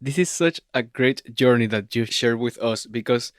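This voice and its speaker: Brief: Venezuelan-accented male podcast host, smooth and resonant, encouraging, dry close mic. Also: medium pitch, no room sound. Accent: Venezuelan Spanish. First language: Spanish. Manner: warm, affirming, measured questions. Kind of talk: interview podcast.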